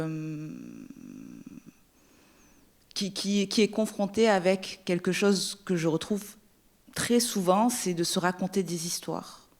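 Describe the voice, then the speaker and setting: A woman in the audience at a library book talk, sharing her impressions earnestly and searching for her words. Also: fairly low pitch. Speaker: audience member. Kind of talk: spontaneous spoken comment. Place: library event room.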